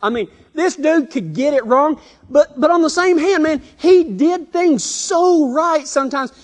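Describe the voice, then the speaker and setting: A man's voice: very high voice, measured pace, in a large hall. A man with a Texan accent preaching a folksy, rambling sermon, stumbling over his words and chuckling as he tells a story.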